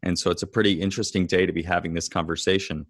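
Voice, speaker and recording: A man in his thirties hosting a podcast, asking questions in a relaxed, conversational way. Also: deep voice, racing. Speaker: podcast host. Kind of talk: casual interview.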